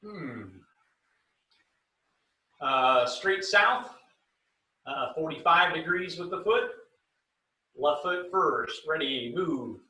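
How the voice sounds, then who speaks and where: A man teaching a martial arts class over a video call, calling out drill commands and explaining them in a relaxed way. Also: on the high side, extremely slow, pauses often. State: bothered by low back pain. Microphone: computer mic on a video call. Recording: roomy video call audio from a distance.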